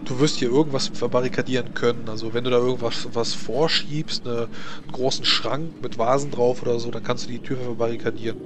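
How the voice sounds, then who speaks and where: Male game master over clear background noise. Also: medium pitch, steady tone. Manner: halting, searching for words. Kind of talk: improvised tabletop game narration. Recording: clearly noisy home recording.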